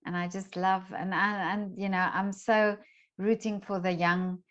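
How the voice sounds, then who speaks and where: A woman's voice: mid-range voice, level tone, a little slow, a bit bright. A woman hosting a casual conversational interview, phrasing hesitantly and repeating words, on a dull lo-fi recording. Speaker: interviewer and host.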